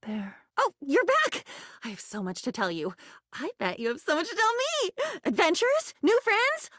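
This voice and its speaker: A woman's voice: very high voice, huge pitch swings, moderate pace, a bit bright, no echo, studio quality, heavily acted. A woman voicing a young, earnest, dreamy living object in a video game, whimsical and theatrical, mixing flowery formal words with chatty asides. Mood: wistful, melancholy, then excited, warm. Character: female living diary, young, earnest, dreamy, newly self-aware. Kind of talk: video game character voice acting.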